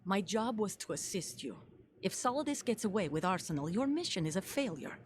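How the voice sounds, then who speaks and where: A woman's voice: mid-range voice, very animated, a little fast, a little hiss or hum, close to an everyday voice. An actress playing a guarded female soldier and grieving mother in a video game, grave, terse and commanding. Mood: serious, explanatory. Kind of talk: dramatic video game voice acting.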